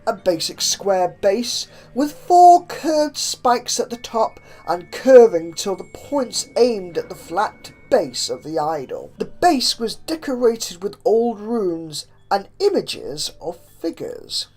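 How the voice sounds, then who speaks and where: A woman reading a horror story aloud as a narrator, with deliberate, theatrical emphasis. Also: medium pitch, very animated, darkish tone, faint music behind. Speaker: narrator reading fan fiction.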